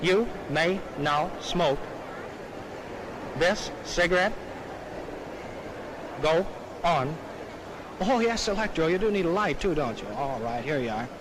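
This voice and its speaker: A man with a fairly high voice works as a stage demonstrator in 1930s showman style. He presents to the public in patter. The old film sound is plainly noisy. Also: lively intonation, takes it slowly, long pauses.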